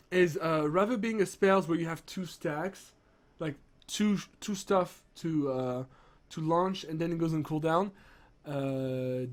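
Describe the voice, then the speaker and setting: A young man with a sick, strained voice, rambling excitedly through game patch notes with lots of restarts. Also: on the high side. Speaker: gaming streamer. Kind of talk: casual patch-notes commentary.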